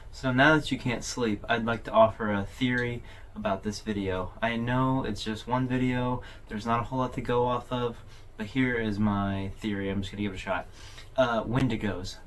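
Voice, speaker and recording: A man's voice: on the low side, a little hiss or hum. A man hosting a video overview, giving casual, conversational commentary in an informal way. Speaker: host of a horror video overview channel.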